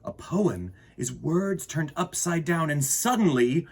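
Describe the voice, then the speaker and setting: A man's voice: a little high, animated, slow pace, gravelly, breathy edge, pitched above the performer's own voice, full character voice. A man voicing an earnest, curious young boy in a storybook, full of wonder. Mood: earnest, tender.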